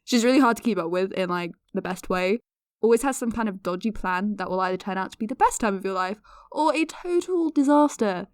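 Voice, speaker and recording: A woman's voice: medium pitch, natural voice. A woman in her twenties with a British accent, playing a self-deprecating, awkward amateur podcaster in an audio drama, chatting in dry, hesitant asides. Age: 20s.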